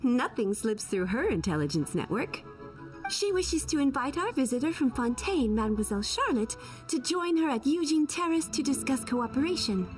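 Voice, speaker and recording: A woman's voice: high-pitched, sing-song, normal speed, soft background music. A woman playing a polite, efficient young female secretary in a video game, speaking formally and courteously. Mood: courteous, composed.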